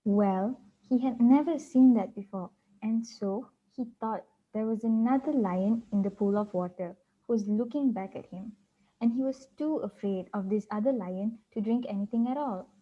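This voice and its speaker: Young woman narrator, fairly high voice, reading a children's tale, slightly muffled audio. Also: normal speed. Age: teenager or young adult student. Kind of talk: scripted storytelling, readers theater.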